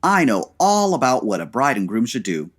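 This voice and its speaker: A man playing a nosy, pushy hotel bellboy with a husky, fussy, officious delivery in old-time radio comedy style. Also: mid-range voice, big pitch swings, normal speed.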